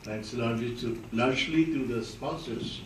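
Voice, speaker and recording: A man speaking as a consul general, giving formal thanks with many hesitant filler pauses. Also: mid-range voice, a little hiss or hum. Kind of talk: formal thank-you remarks. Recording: echoey room, distant mic.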